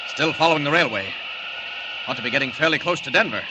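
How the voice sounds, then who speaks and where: An actor playing a resolute flying superhero thinking aloud in old radio drama, in clipped, determined phrases. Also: male voice, on the high side, animated, close to an everyday voice. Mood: alert, resolute. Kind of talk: 1940s radio drama acting. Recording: loud rushing noise, old radio recording.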